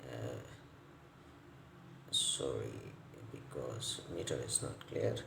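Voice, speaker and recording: Male repair technician, non-native English speaker, slow halting demo delivery with gravelly low end, echoey indoor recording with faint noise. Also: lively intonation. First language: not English. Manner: halting, piecemeal phrasing, repeats words. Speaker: electronics repair technician giving a tutorial. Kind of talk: unscripted hands-on repair demonstration. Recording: roomy echo, faint background noise.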